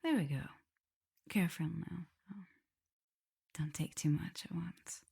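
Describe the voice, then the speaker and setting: Breathy, low-voiced vampire mistress played by a woman. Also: measured pace, heavily acted. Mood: caring, coaxing. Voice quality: soft, breathy, often whispering. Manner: tender, playful, hesitant murmuring. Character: affectionate, teasing female vampire mistress, a prim teacher. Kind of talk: intimate ASMR roleplay addressed to the listener.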